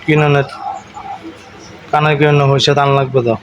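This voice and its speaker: A man's voice: a little high, level tone, moderate pace, dull audio. Man chatting over a very noisy call. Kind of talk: casual intimate phone chat. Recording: noisy video call recording, vehicle sounds.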